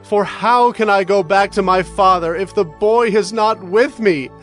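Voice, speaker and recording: Male actor for a pleading grown brother: high voice, desperate appeal. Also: measured pace, soft background music. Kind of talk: dramatized scripture reading.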